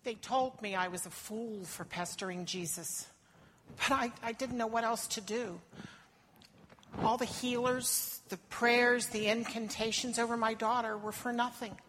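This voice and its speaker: A woman's voice: on the high side, steady tone, a little slow, a bit bright, big-room sound, slight background noise, poor audio. A woman acting out a desperate foreign mother who pleads for her sick daughter, telling her story earnestly in the first person. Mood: sorrowful. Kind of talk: dramatic first-person monologue in church.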